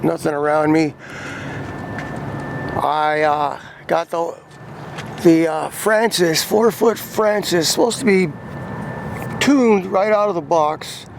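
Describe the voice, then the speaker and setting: A man's voice: a little high, takes it slowly, gravelly, pauses often, background noise. A man giving a casual hands-on vlog demonstration, rambling and thinking aloud, in and around a parked truck. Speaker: vlogger demonstrating CB radio antennas.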